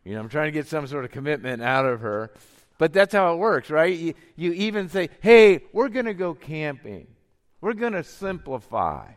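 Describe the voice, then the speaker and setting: A middle-aged man preaching a sermon, his voice deeper than usual from a cold, telling stories in a conversational way with frequent chuckles. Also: lively intonation, moderate pace, big-room sound. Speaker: pastor.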